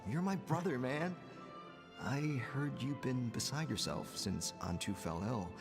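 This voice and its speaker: Brotherly fantasy game chief, hearty, friendly, swinging intonation, hall reverb, background music. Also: male voice, mid-range voice, a little slow. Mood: brotherly, admiring. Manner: friendly, expressive. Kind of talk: video game voice acting. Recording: long hall reverb, music clearly underneath.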